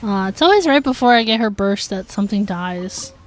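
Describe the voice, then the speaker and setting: A woman's voice: medium pitch, lively intonation, a little fast, slight background noise. A woman streaming a game, chatting casually with her viewers in a filler-heavy way. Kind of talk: casual livestream chat while gaming.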